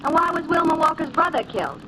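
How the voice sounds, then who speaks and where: A woman's voice: sits high, big pitch swings, quick pace. An actress playing a detective's inquisitive female sidekick, eager and teasing, in 1940s radio drama style. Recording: noisy vintage 1940s radio recording.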